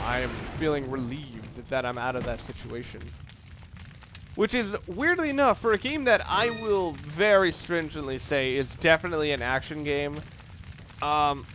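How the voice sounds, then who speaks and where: A man's voice: on the high side, a bit bright, slight background noise. A man giving casual, analytical video game commentary, rambling and correcting himself as he goes. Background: video game sounds.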